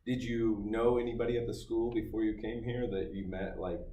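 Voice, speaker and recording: A man with a mid-pitched voice conducting an interview. He rambles and restarts his questions several times before they land. He sounds distant in an echoey room, with faint noise behind him. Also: flat delivery, brisk, warm tone.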